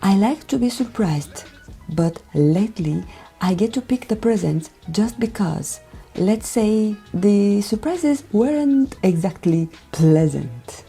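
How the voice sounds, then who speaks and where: A woman from Romania with a Romanian accent, speaking a gentle, careful voiceover for her makeup tutorial, often dropping near a whisper. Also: medium pitch, lively intonation, slow, gravelly, breathy edge. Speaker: beauty vlogger. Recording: voiceover with background music.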